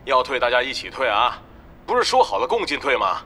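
Lively, calculating guild leader. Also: male voice, mid-range voice, a little fast. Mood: scheming, insistent. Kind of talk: Chinese audio drama acting. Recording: ambient noise behind.

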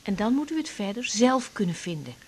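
A young woman acting a brisk, stern doctor in a radio drama, speaking in a curt, commanding way. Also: mid-range voice, sing-song, a little hiss or hum, close to an everyday voice. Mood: stern, impatient. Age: young adult.

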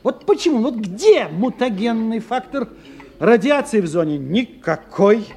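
A man's voice: high voice, sing-song, measured pace, a little mellow, full character voice. A man acting in a radio drama as a tipsy, learned scientist who lectures emphatically, stammering and repeating himself. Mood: agitated, baffled. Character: excitable, tipsy, learned male scientist explaining mysteries.

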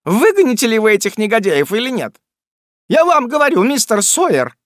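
A man voicing a furious middle-aged landlady with a shrill, screeching voice. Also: sing-song, moderate pace, no echo, clean studio sound. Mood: furious shrieking.

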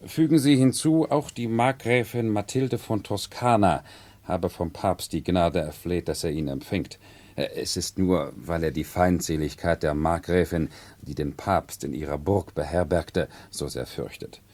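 Very deep-voiced, earnest retainer. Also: male voice, unhurried, darkish tone. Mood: earnest, deferential. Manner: deferential, explanatory, precise. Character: obliging, earnest male retainer in costume service. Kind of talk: 1970s German radio play acting.

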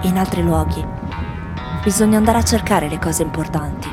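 An Italian-accented actress playing opinionated foreign tourists giving their impressions in a scripted radio theatre piece. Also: female voice, on the low side, moderate pace, over loud music, close to an everyday voice. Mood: reflective, dry. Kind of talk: scripted dramatic monologue of interview answers.

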